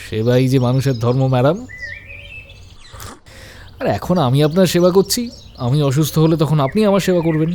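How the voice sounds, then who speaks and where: A man voicing a gentle, modest, poetic young man in an animated love story, courteous and. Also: on the high side, brisk, pauses often, a little hiss or hum, close to an everyday voice. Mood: gentle, teasing. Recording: studio voice under loud background music.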